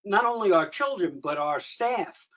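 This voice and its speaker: A man's voice: sits high, lively intonation, normal speed, a bit bright, highs cut off. A man moderating an online meeting, thanking speakers briefly and courteously and passing on questions.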